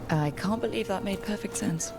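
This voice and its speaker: An actress playing a calm, supportive woman hero in a fantasy video game, speaking in a reassuring, conversational way. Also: mid-range voice, normal speed, lightly acted. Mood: curious. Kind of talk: video game dialogue acting. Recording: loud game music behind the voice. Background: loud game soundtrack music.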